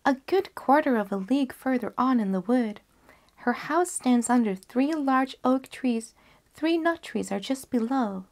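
Trusting fairy-tale little girl acted by a woman: high, polite, sweet, innocent. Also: expressive, measured pace, a little mellow.

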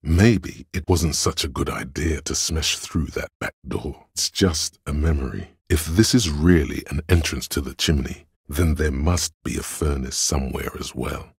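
An actor playing a calm, analytical inner voice of logic in a video game, gravelly and husky, speaking in a dry, measured, knowing way. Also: male voice, extremely low pitch, no echo, exaggerated voice. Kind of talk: video game voice-over narration.

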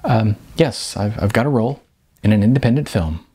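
A man's voice: lowish voice, expressive, a little fast, dark tone, breathy edge. A man voicing an earnest, flustered young waitress in a storybook reading, hesitant and correcting herself. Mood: modest, nervous. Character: earnest, flustered female waitress and aspiring actress.

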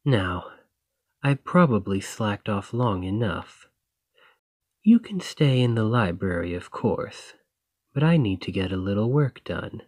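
A man playing a devoted, gentle boyfriend in a romantic ASMR roleplay, soft and husky, with a tender, reassuring manner. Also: fairly low pitch, animated, measured pace, no room sound, clean studio sound. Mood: light, affectionate.

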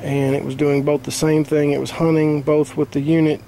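A man's voice: a little high, level tone, moderate pace, mellow, slight background noise, low-quality recording. A man working as an HVAC technician, talking through a repair step by step outdoors in a plain, casual way with many fillers. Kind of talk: casual hands-on repair demonstration. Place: outdoors beside an air-conditioning unit.

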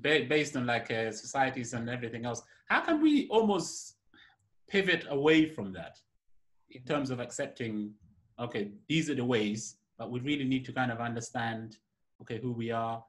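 A man's voice: medium pitch, expressive, moderate pace, a bit bright. Young man musing on a video call. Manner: reflective, loose, many "you know"s. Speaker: interviewer, host. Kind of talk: casual video-call interview. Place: home room, indoors. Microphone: laptop webcam on a video call.

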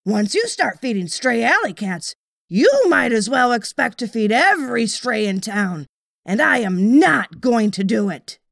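Woman acting a stern, loud scolding mother. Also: a little high, very animated, measured pace, vocal fry, dry recording, clean studio sound, heavily acted. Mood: angry, scolding. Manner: indignant, emphatic. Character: stern, scolding mother who hates cats.

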